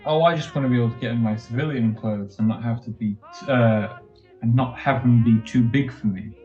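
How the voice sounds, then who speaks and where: An actor playing a weary, affectionate young husband in an old-time radio drama, with a relaxed, halting delivery. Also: male voice, medium pitch, echoey room, muffled recording, faint music behind, lightly acted. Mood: tired, affectionate.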